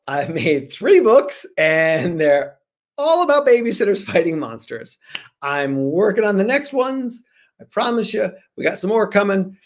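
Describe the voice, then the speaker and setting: A man in his forties, an author guest, casually answering questions over a video call from a room at home in an animated, jokey way. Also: high voice.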